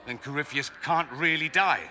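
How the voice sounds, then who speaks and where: A man's voice: fairly high pitch, normal speed, pushed and bright, faint music behind. An actor playing a resolute male warrior leader in a fantasy video game, speaking directly and with command. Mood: serious, determined.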